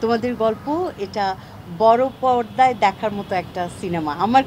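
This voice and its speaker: A woman's voice: a little high, normal speed, darkish tone. A woman, an actress, answering the press in an interview. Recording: clear urban traffic noise.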